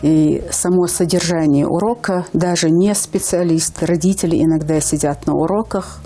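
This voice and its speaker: A woman's voice: lowish voice, normal speed, dark tone, soft background music. A woman in her fifties from Ingushetia, a language teacher speaking earnestly as a guest on a TV morning show, with hesitant fillers. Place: TV studio.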